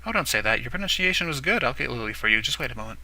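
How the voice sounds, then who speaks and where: A man's voice: mid-range voice, animated, very fast, sharp tone, poor audio. A man voicing a courteous, friendly middle-aged mother in a visual novel playthrough. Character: polite middle-aged mother, gently encouraging.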